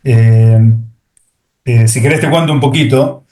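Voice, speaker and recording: A man's voice: mid-range voice, measured pace, dark tone. Hesitant middle-aged man, echoey room. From Argentina. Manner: hesitant, many fillers and restarts. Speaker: guest, software executive. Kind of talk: interview conversation.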